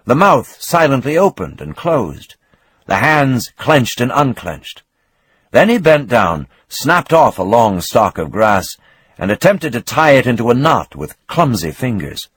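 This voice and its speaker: A man giving a scripted, dramatic reading of a novel as narrator, expressive with swelling emphasis and a husky voice. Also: mid-range voice, unhurried, no echo.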